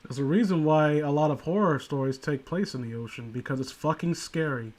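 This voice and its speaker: Male co-host, slightly higher register, casual comedic riffing, sardonic and profane, loose rambling delivery. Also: a little fast, darkish tone. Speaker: podcast co-host reading and mocking amateur horror stories.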